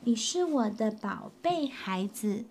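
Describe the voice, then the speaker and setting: A woman voicing a loving mother dinosaur in a storybook, speaking softly and tenderly. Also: on the high side, animated, slow, slight background noise. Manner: soft, tender, calling out.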